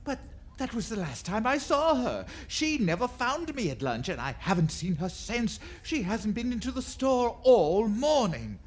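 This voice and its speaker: This is a man acting a fussy department store Jack Frost in a radio play. His husky voice swings hugely up and down. He gushes with endearments and melodrama. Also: sits high, sing-song, a little slow, heavily acted. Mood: anxious, confiding. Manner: effusive, melodramatic, peppered with endearments. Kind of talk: 1940s radio drama acting.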